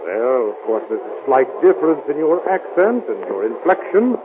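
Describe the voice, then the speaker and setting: An actor as an animated, flustered American gem dealer. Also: male voice, mid-range voice, normal speed, natural voice. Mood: apologetic, amused. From United States. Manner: flustered, hesitant, exclamatory. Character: prosperous American gem dealer abroad, stubborn, breezily trusting. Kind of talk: old-time radio drama acting. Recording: tinny, noisy old radio recording.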